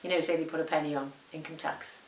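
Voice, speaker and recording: Low-voiced older woman. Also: steady tone, fast talker. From Liverpool, England. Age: 70s. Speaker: documentary interviewee. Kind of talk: unscripted interview answers. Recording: roomy sound with faint hiss.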